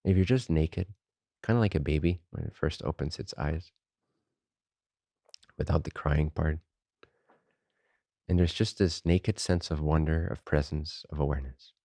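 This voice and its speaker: A man leading a guided meditation as a teacher, unhurried and informal, speaking in short phrases with pauses between them. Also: extremely low pitch, mellow, no room sound.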